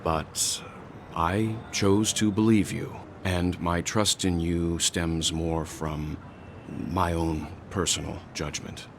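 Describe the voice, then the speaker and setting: An actor playing a calm, serious male traveler in a video game, speaking in a measured, earnest way. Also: low voice, a little hiss or hum. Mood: calm sincerity.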